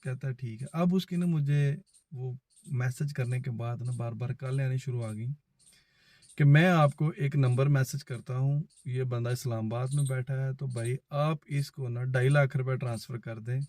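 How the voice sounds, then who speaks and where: A man's voice: mid-range voice, fairly even intonation, moderate pace, warm tone, dry recording. A man with a Pakistani accent telling a personal story in a casual, conversational way, quoting back-and-forth dialogue as he goes. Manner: conversational, acts out both sides of remembered phone conversations. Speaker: vlogger.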